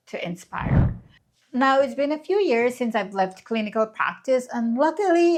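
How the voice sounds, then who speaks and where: A woman in her thirties speaks straight to her viewers in a candid, reflective vlog. Her voice sits a little on the high side, and she talks in an earnest, personal way. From Guyana. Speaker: vlogger. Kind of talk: scripted reflective vlog narration.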